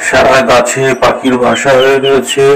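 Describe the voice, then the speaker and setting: A sneering cartoon tree, voiced by a man, mid-pitched and impatient. It talks mockingly, like one shooing someone along. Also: little pitch movement, moderate pace, gravelly, a bit bright, rough recording. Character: mocking male tree pointing out the kind one. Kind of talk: cartoon story voice acting.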